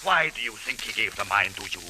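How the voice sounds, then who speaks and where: A gold-obsessed villain played by a male actor in an old radio thriller, low in pitch and menacing. His phrasing is formal, deliberate and taunting. The recording hisses and crackles clearly. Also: lively intonation, lightly acted. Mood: bitter, angry. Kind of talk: 1940s-50s radio drama acting.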